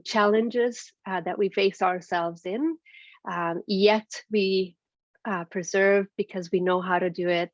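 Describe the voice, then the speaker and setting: A woman's voice: mid-range voice, no echo. A woman from Transylvania whose first language is Hungarian, giving an earnest prepared speech as a guest speaker, with many fillers and restarts. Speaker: executive director, guest speaker. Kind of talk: prepared speech over a video call. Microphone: video call. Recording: dull lo-fi video call audio.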